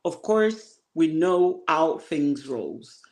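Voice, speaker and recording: A man on an online panel, speaking in a relaxed, agreeable and measured way. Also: a little high. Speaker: panel guest. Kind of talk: casual panel chat.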